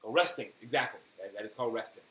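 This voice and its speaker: A man hosting a casual live stream, replying to viewers in a halting way that often trails off mid-thought. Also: on the low side, pushed and bright. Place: indoor room at home with printer running. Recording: roomy echo, faint buzzing 3D printer noise. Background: faint buzz of a 3D printer.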